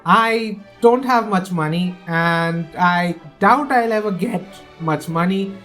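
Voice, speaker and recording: A woman from India, hosting a casual, excitable and opinionated game preview and often restarting her sentences. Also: fairly low pitch, slow pace, soft background music. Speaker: gaming video host.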